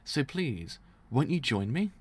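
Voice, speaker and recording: A man's voice: mid-range voice, big pitch swings, takes it slowly, slightly rough, heavily acted. A man voicing an anxious, earnest female chicken fighter who commands birds, pleading with urgency in a story reading. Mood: pleading, urgent.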